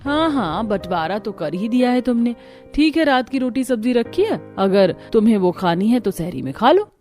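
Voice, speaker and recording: A woman's voice: fairly high pitch, sing-song, normal speed, a little mellow, soft background music. A woman voicing a resentful, bossy elder sister-in-law in a Hindi cartoon story, sarcastic and fond of giving orders.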